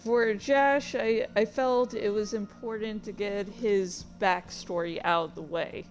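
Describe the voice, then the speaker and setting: Slow-paced woman reading a story. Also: mid-range voice, steady tone, soft background music. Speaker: streamer reading their own fan fiction aloud. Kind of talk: scripted story narration with casual asides.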